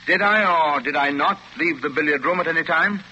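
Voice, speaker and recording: A man's voice: on the high side, fairly quick, sharp tone. A male actor playing a suave, condescending villain in a 1940s radio drama, with precise, formal speech and cool, sardonic impatience. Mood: cool, impatient. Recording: muffled, noisy 1940s radio recording.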